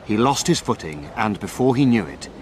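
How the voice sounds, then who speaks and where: A man playing a detective's loyal friend, narrating a period radio drama in a measured, grave storytelling manner. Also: big pitch swings. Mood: grave, dramatic. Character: detective's loyal male friend, recounting the adventure. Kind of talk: scripted first-person drama narration. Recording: clear background noise, rumbling ambience.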